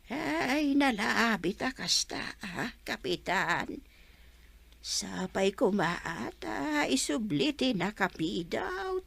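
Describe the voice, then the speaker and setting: A woman with an Ilocano accent acting a worried, frail old village mother, plaintive and halting, in a radio comedy drama. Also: mid-range voice, big pitch swings, creaky, fairly bright tone, breathy edge, no room sound, full character voice.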